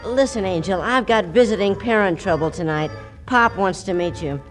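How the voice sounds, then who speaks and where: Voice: mid-range voice, moderate pace, a bit bright, soft background music, close to an everyday voice, low-quality recording. A performer playing a brash, girl-crazy teenage boy who wisecracks in a 1940s radio comedy. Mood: cocky. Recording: 1940s radio broadcast recording.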